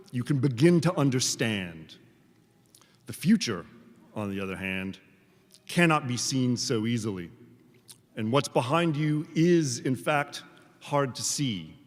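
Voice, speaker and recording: A man delivers a formal commencement address as a professor, speaking in measured, deliberate phrases with rhetorical pauses. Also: medium pitch. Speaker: professor giving a commencement speech. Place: large hall at a graduation ceremony. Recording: reverberant large hall, amplified speech.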